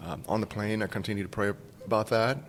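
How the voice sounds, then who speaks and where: A man's voice: fairly low pitch, fairly even intonation, fast, in a large hall, a little hiss or hum. A man in his forties from Cuba, casually telling a personal story as a podcast guest. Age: 40s. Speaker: school staff member, podcast guest.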